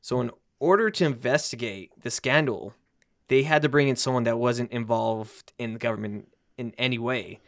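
A man's voice: medium pitch, normal speed, fairly bright tone, dry recording, clean studio sound. A man hosting a casual podcast, reading passages aloud and then chatting loosely with his friends. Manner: reads quotes then jokes loosely with friends. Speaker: podcast host.

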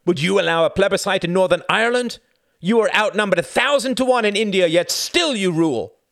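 A man reading aloud, voicing a heated, desperate middle-aged male president in a loud, indignant raised voice. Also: high voice, animated, brisk, gravelly, no room sound, studio quality, pitched above the performer's own voice, full character voice.

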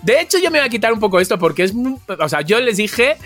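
Mexican man, podcast co-host. Campy, exclamatory banter with very animated pitch swings, music underneath. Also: quick pace. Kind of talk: casual comedic banter among co-hosts.

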